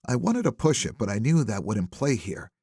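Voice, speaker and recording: Husky, expressive male audiobook narrator. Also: mid-range voice, normal speed, no room sound, studio quality. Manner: expressive, wry, conversational. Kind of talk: scripted first-person thriller narration.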